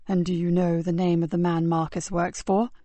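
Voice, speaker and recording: A woman doing a gentle, low-voiced male sergeant. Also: little pitch movement, normal speed, no echo, close to an everyday voice. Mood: soothing. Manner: low, soft and soothing. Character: weary kind male police sergeant.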